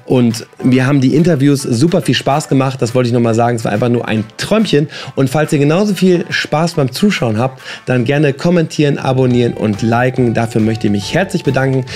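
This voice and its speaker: A hoarse man in his thirties hosting a casual, joking interview on a handheld mic inside a van. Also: medium pitch, fairly quick, few pauses, a little mellow, soft background music. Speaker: host.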